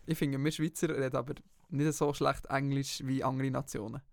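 A man's voice: medium pitch, little pitch movement, brisk, creaky, no echo, studio quality. A young man from Switzerland with a Swiss German accent, chatting casually and jokingly as a podcast co-host. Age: young adult. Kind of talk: casual chat.

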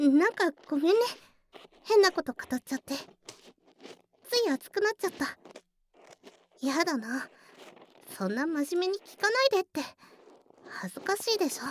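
Woman to play earnest young childhood friend, cafe waitress: girlish high voice, animated, bashful tsundere edge, intimate ASMR drama. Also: normal speed, pauses often, slight background noise. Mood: embarrassed. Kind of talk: Japanese ASMR situation voice drama acting, intimate one-sided conversation.

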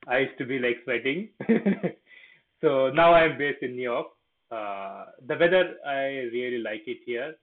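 A man from India, a guest on a tech podcast, talking with pauses and fillers. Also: mid-range voice. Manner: hesitant, many fillers. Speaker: guest, tech company co-founder. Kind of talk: casual podcast interview. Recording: tinny phone-like line.

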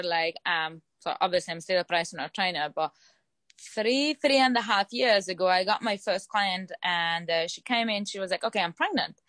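A young woman with a Hungarian accent, speaking English as a second language, talks earnestly as a fitness trainer. She pauses to find her words and repeats phrases as she goes. The voice sits in a middle register and sounds close and dry, with no room echo. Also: medium pitch, a bit bright. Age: 20s. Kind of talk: interview answers.

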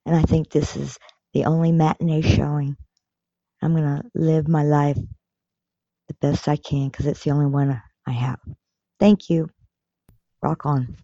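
An American woman from rural Kansas leaving a short personal voicemail statement in measured, deliberate phrases. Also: low-pitched, flat delivery, dark tone, no echo. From rural Kansas, United States. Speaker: voicemail caller. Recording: dull lo-fi voicemail audio.

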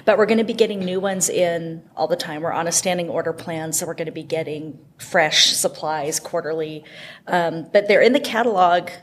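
A woman's voice: lowish voice, normal speed. A woman guest explaining library items in a casual, enthusiastic interview style.

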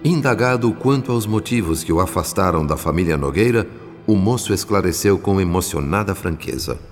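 An actor with a Brazilian accent playing a solemn spirit guardian who narrates a radio drama in formal, measured, literary diction. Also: male voice, sits low, lively intonation. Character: solemn male spirit guardian recounting a family's troubles. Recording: long reverb, music bed behind. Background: music.

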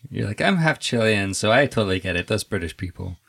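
Low creaky guy hosting a chill chat interview, lots of restarts and "you know". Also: fast talker. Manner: relaxed, restarts sentences, chuckles often. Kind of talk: casual conversational interview.

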